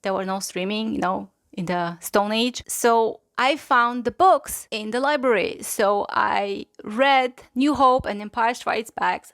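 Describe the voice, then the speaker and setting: A woman's voice: on the high side, measured pace, hardly any pauses, fairly bright tone, dry recording. Bulgarian-accented woman gushing about her fave books, chatty, lots of likes, super dry close sound. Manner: enthusiastic, gushing, chatty with many "like"s. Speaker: book channel host. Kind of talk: casual book review.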